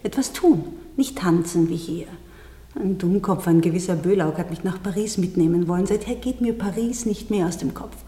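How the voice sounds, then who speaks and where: An actress playing a young variety dancer in a radio play, guarded and dreamy, speaking in a soft, confiding way. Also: female voice, lowish voice, moderate pace, close to an everyday voice. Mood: confiding, wistful. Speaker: radio drama actor. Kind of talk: intimate German radio play dialogue. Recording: reverberant room with long echo.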